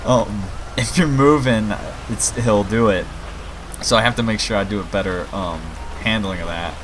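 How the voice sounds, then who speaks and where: Male gaming host, lowish voice, informal think-aloud delivery to viewers, over noticeable game ambience of rain and vehicles. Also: moderate pace. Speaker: gaming video host and mod maker. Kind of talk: casual let's play commentary. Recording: clear game rain and traffic noise. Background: video game rain, vehicles and city ambience.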